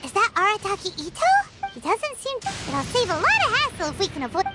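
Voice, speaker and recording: A woman voicing a tiny, plucky, childlike fairy companion in an anime video game, squeaky and exclaiming. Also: big pitch swings, fast. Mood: curious. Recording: loud game music underneath. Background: loud game music.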